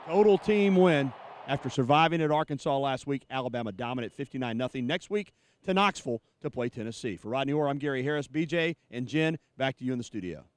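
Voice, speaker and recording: Fast-talking male sports reporter. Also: medium pitch, lively intonation. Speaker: sports director reporting on game. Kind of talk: broadcast sports report and discussion.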